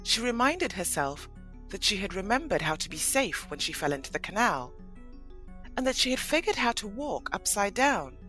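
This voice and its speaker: Expressive woman narrator over faint music. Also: medium pitch, normal speed. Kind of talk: scripted storybook narration for an audio play.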